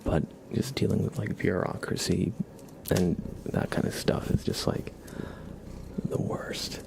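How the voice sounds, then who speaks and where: A man hosting an ASMR livestream, chatting casually in a soft, whispery murmur and gently repeating his phrases. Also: deep voice, steady tone, unhurried, creaky, background noise.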